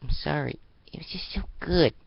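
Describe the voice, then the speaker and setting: Man as eager girl, higher pitch, pleading, playful, excitable, storybook reading, muffled sound. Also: normal speed, vocal fry, breathy edge. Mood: apologetic.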